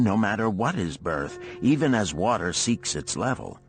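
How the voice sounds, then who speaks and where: A man's voice: fairly low pitch, pitched above the performer's own voice. Man voicing a stern desert matriarch. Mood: challenging. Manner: formal archaic phrasing, imperious. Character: stern, cold older chieftain's wife, blunt and challenging.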